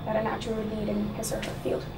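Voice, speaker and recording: A woman's voice: medium pitch, completely flat delivery, racing. A young woman, a student, politely asking prepared interview questions in a small office. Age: young adult. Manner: polite, soft backchannels. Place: small office meeting room, seated at a table. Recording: distant voice with room hum.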